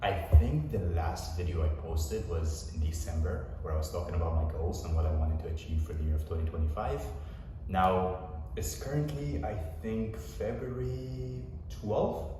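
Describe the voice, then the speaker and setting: A young man, a personal trainer, giving a relaxed, rambling vlog update with plenty of fillers. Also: low voice, background noise. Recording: echoey room from far off.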